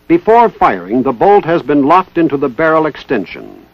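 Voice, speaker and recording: A man giving formal, precise, scripted narration in the style of a 1960s military instructional film, with measured enunciation. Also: on the high side, very animated, no room sound. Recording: dull 1960s training film soundtrack.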